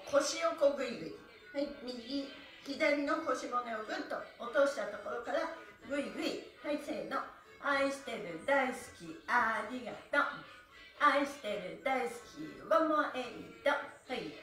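A woman's voice: high voice, fairly bright tone. A middle-aged woman leading a stretching class, cheerfully chanting counts and instructions from a few meters away in a small indoor room. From Japan. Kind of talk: live-streamed exercise class. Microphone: phone camera a few meters away. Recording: echoey room from a distance.

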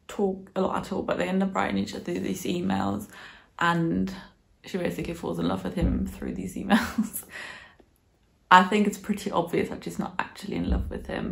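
A woman's voice: on the low side, creaky. A bilingual German and English speaking woman in her twenties, chatting casually and thoughtfully about books to camera. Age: 20s. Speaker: book vlogger. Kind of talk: casual chat to camera, book review. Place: small living room, on a sofa indoors.

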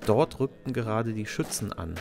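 A man's voice: fairly low pitch, lively intonation, normal speed, gravelly, noisy background. A man narrating a scripted children's story as a storyteller.

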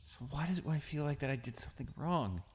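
A young man acting a shy, anxious, bullied high school student in an audio roleplay, stammering and hesitant. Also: animated, a little fast, slight background noise, natural voice. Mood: troubled, muttering. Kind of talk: romantic audio roleplay, one-sided dialogue.

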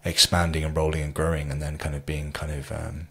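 A man in his thirties hosts a podcast over a video call. His voice is very low and rough, almost gravelly in its rasp. He speaks in a hesitant, winding way, leaning on fillers while he builds his questions. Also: flat delivery, a little fast, sharp tone. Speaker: podcast host. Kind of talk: podcast interview. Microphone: studio mic, earbuds, video call.

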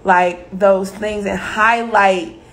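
A woman's voice: medium pitch, fairly even intonation, slow pace, fairly bright tone, low-quality recording. A young woman chatting casually at a kitchen table, telling things in a loose, quote-filled way. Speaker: music director. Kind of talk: casual chat. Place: home kitchen, indoors.

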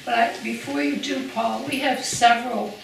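A woman's voice: on the high side, steady tone, normal speed, vocal fry, a crowd in the background. A woman hosting a gathering in a living room, upbeat and steering the conversation. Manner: upbeat, guiding the talk. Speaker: host of the gathering. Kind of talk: casual chat. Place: living room of a large house, indoors. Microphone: far camera. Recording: echoey room from far off.

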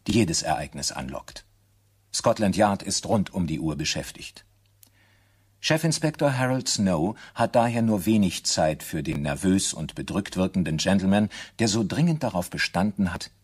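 A man narrating a radio crime play in a scripted, measured and factual manner. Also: sits low, expressive, a little mellow, breathy edge.

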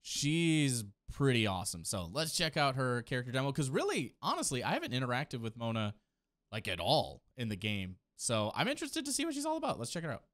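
A man's voice: mid-range voice, huge pitch swings, brisk, fairly bright tone. A man in his thirties giving enthusiastic, slangy reaction commentary in a casual style. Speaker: video host reacting to game trailers. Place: small indoor room, streaming setup. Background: game trailer music.

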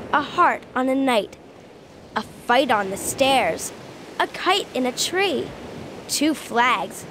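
A woman reading scripted rhyming riddles for a children's game, with deliberate, sing-song enunciation. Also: high voice, noisy background. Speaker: game narrator.

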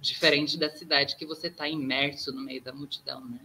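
Gaucho brazilian woman, guest on a video call from home, really bright voice, thinks out loud and rambles, little bit of room hiss. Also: sits low, fairly even intonation, a little fast, low-quality recording. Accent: Gaúcho Brazilian Portuguese. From southern Brazil. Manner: reflective, rambling, frequent tag questions. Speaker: guest artist. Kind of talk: casual interview talk. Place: home room with plants, indoors.

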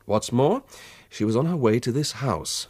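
An actor playing a respectful police inspector with an English accent, reporting formally in a British radio drama. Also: male voice, mid-range voice, animated, moderate pace, darkish tone, husky, no echo. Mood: earnest, dutiful.

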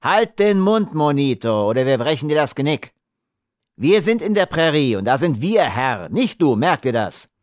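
A man voicing a rough, bearded prairie smuggler in an audiobook, blunt, taunting and threatening. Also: mid-range voice, no room sound, clean studio sound, close to an everyday voice. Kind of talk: audiobook character acting.

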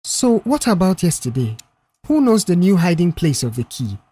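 A man voicing a reserved young male mechanic in an audiobook, asking measured, probing questions. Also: sits high, sing-song, warm tone, slightly rough, no room sound, heavily acted. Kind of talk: audiobook character dialogue.

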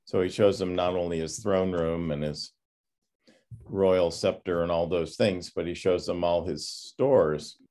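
An older man in his sixties, a pastor giving an informal class talk over a video call, reflective and halting with many pauses. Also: low-pitched, steady tone, warm tone. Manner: halting, reflective, often pausing. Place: home study with bookshelves, on a video call. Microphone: computer microphone on a video call.

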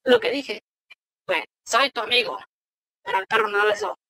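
A man using a voice-changing program to sound like a giggly, squeaky young woman. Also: huge pitch swings, moderate pace, gravelly, sharp tone, dry recording, exaggerated voice. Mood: giggly chatter. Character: giggly high-pitched girlish voice "Cortana". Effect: voice changer pitch shift.

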